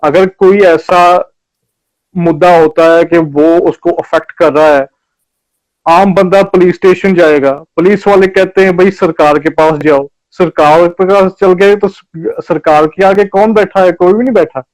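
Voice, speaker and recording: Fairly high-voiced man hosting an interview. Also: fairly even intonation, measured pace, a little mellow, rough recording. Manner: appreciative, interjecting praise and agreement. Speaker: interview host. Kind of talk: casual live talk.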